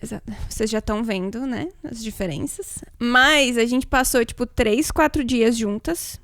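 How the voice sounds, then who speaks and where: A woman's voice: on the high side, moderate pace, a bit bright, no room sound. A Brazilian woman in her late twenties, co-hosting a podcast in casual, chatty conversation. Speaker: podcast co-host.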